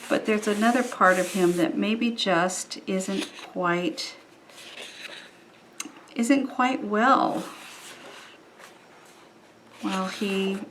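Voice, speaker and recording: A woman leading a children's church lesson, reflecting gently and ponderingly on a story, with pauses mid-phrase. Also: fairly low pitch. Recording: echoey room with faint background noise.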